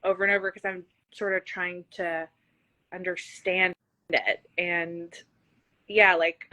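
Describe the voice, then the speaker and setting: Bright-voiced woman host with a slight lisp. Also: lowish voice, little pitch movement, slow, no room sound, poor audio. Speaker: interview host. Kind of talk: casual chatty interview.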